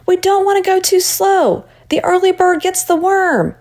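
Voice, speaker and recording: A small, plucky girl from a children's storybook, played by a woman reading aloud. The voice is pitched very high and sounds childlike and insistent. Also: normal speed, darkish tone. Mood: plucky, urging. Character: small frightened but plucky little girl.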